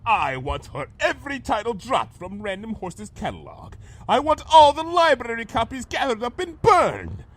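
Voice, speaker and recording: Casting: theatrical monster villain, high voice, wildly swooping delivery, pompous, vengeful and grandiose. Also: male voice, huge pitch swings, moderate pace, a bit bright, full character voice. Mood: raging. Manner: pompous, florid, melodramatic diction. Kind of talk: dramatized fan fiction audio reading.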